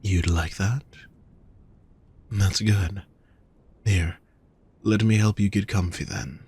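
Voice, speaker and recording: A man playing a gentle, protective demon lover in an intimate roleplay audio, soft, husky and reassuring, speaking straight to the listener. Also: sits low, steady tone, heavily acted. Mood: soothing, tender. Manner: soft, reassuring, unhurried coaxing. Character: gentle, teasing, protective male demon lover at a fantasy brothel.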